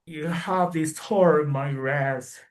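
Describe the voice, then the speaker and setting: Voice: medium pitch, slow, voice pushed up, lightly acted. A performer voicing the vengeful ghost of a dead man, menacing and threatening in a dramatized folk tale. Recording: background music.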